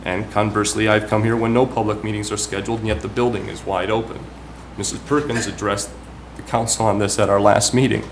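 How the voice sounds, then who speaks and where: A man with a fairly low voice delivers prepared remarks as a councilman at a town meeting. He is formal and deliberate in his phrasing. Clear background noise runs through the meeting room recording. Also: little pitch movement, rough recording.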